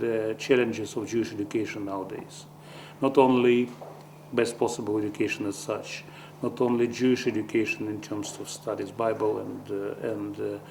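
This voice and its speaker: A man's voice: on the low side, creaky, darkish tone, a little hiss or hum. A man, a program organizer, answering formally with occasional fillers. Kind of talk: interview answers.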